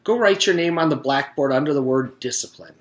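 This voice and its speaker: A man acts out a bossy, exasperated woman schoolteacher from a children's storybook. The voice is lifted well up in pitch, emphatic and commanding, in an echoey room. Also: fairly quick, lightly acted. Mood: stern.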